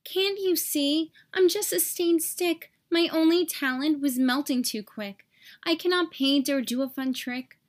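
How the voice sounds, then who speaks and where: A high-pitched, sad little stick from a children's storybook, acted out by a woman. He sounds plaintive and self-pitying, full of doubt about himself. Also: a little slow. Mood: dejected. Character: sad, self-doubting male popsicle stick, small and whiny.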